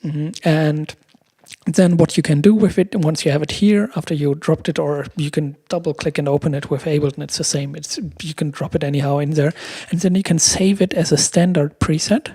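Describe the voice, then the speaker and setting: A man in his thirties casually explaining software in a demo, repeating words as he searches for phrasing, recorded on a close mic in a room at home. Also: a little high, steady tone, brisk, breathy edge, dry recording. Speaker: music producer giving a tutorial. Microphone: close microphone on a stand.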